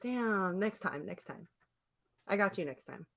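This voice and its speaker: A woman's voice: medium pitch, animated, unhurried, vocal fry. Chatty young woman telling stories, laughing. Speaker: podcast host. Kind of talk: casual chat, storytelling.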